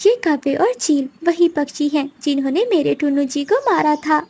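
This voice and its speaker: A woman's voice: sits high, normal speed, a little mellow. A woman voicing a scheming, vengeful female bird with an accusing, dramatic manner in a moral-story cartoon. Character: vengeful, scheming female bird plotting against wicked crows.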